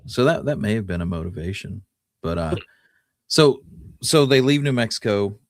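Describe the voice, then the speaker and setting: Low deep guy voice, dry close sound, casual history podcast cohost. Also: animated, normal speed, dry recording. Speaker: podcast co-host. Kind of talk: casual history discussion and storytelling.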